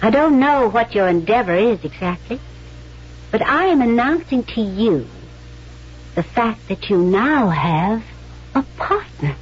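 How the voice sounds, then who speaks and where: A woman's voice: on the high side, sing-song, unhurried, breathy edge, lo-fi sound, exaggerated voice. A woman playing a poised, cunning young actress in a genteel, theatrical manner in a 1970s radio drama. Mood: coolly shrewd. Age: young adult. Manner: theatrical, genteel, coolly self-assured. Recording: band-limited vintage radio broadcast with music.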